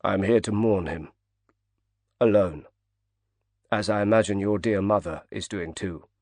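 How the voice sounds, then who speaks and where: A man's voice: on the low side, unhurried, darkish tone, no echo. A man voicing a heavy, proud older male financier whose speech moves between choked grief and indignation. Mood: grieving.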